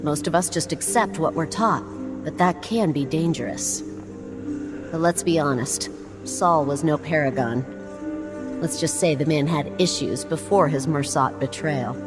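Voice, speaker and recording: A woman voicing a scholarly, wry explorer in a video game, speaking in a measured, articulate way. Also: sits low. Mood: reflective. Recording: game music underneath.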